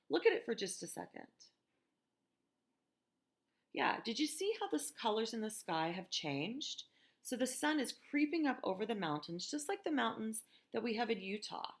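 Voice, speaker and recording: An American woman reading a picture book aloud to children in a gentle, expressive teacher's manner, with conversational asides. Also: medium pitch, moderate pace, creaky. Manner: gentle, expressive, asks listeners questions.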